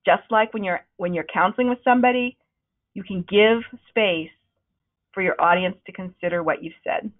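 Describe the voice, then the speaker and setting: A woman leading a webinar on presenting, with a loud, projecting voice that is starting to wear out, talking in a conversational, encouraging way with frequent ums. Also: medium pitch, moderate pace. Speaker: presentation trainer. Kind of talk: webinar talk. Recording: narrow, phone-like webinar audio.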